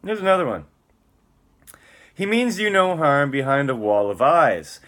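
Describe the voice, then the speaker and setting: A man's voice: on the high side, animated, unhurried, a bit bright. A man giving a dramatic, theatrical spoken-word reading, moving between emphatic lines and muttered casual asides.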